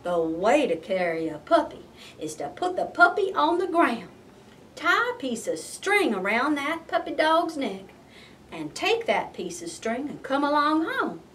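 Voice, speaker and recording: A woman's voice: sits high, big pitch swings, measured pace, a bit bright, distant mic, full character voice. A woman voicing an exasperated, scolding possum mama in a folksy, emphatic storybook style.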